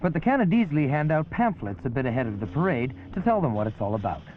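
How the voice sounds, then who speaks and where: A man's voice: fairly high pitch, very animated, fast talker, a little hiss or hum. A man reading a wry, lightly humorous documentary narration as a voice-over. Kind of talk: scripted narration. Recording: tinny old 1981 film soundtrack with music.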